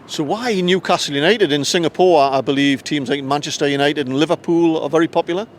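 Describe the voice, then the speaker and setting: A man's voice: fairly high pitch, brisk. A man hosting a video, chatting with football fans outdoors on match day. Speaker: host interviewing fans. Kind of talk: outdoor vlog presenting. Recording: street noise behind.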